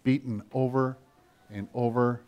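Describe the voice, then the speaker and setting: An older man preaching a sermon with a children's message, animated and storytelling with a big voice. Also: mid-range voice, measured pace, dull audio.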